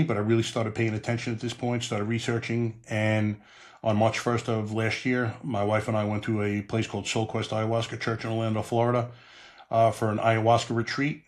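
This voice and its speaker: A middle-aged man with a Long Island New York accent, hosting a podcast in plain, earnest talk with frequent "uh" fillers. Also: fairly low pitch. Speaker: podcast host. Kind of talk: podcast monologue and interview.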